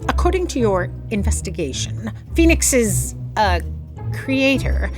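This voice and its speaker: A woman's voice: on the high side, sing-song, measured pace, music in the background. A woman voicing a skeptical, dryly commanding woman in charge.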